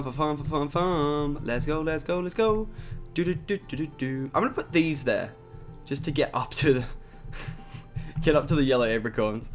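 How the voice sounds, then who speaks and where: Young Australian guy commentating a game, raspy morning voice, rambling and chatty, faint guitar music behind. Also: mid-range voice. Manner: chatty, rambling with many "like" and "um" fillers. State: raspy from just waking up. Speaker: gaming YouTuber. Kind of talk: casual gameplay commentary.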